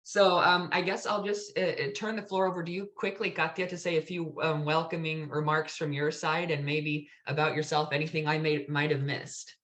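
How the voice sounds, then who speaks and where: A man's voice: fairly high pitch, flat delivery, moderate pace, few pauses, pushed and bright. A man in his thirties hosting a video call interview, friendly and hedging with "kind of" and "right?". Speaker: host, foundation director. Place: indoor home room.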